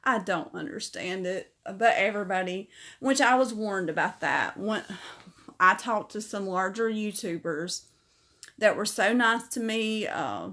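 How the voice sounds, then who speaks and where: A woman's voice: medium pitch, animated, unhurried. Female livestream host, middle-aged, casual and chatty. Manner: warm, chatty, reads comments aloud and answers them. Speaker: livestream host reading viewer comments.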